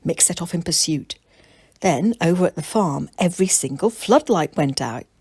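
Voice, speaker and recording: A woman's voice: on the low side, lively intonation, moderate pace, a little mellow, husky, dry recording, studio quality. A woman narrating a children's storybook as its author and reader.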